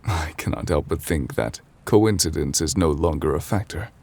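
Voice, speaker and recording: A man playing an ancient, weary chief judge in an intimate ASMR roleplay, formal and gentle, speaking in measured, hesitant phrases. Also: heavily acted. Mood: gently curious. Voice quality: husky, breathy low voice. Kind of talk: intimate ASMR audio roleplay, slow dramatic monologue.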